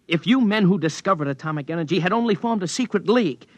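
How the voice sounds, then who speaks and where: A man's voice: high-pitched, lively intonation, quick pace, dry recording. An actor in a 1950s radio drama playing a defiant male scientist who speaks brusquely and combatively.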